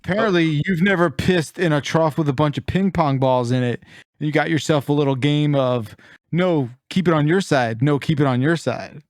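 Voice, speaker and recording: A man's voice: a little high, normal speed, dry recording, clean studio sound. A man co-hosting a podcast in casual, joking banter. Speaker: podcast co-host.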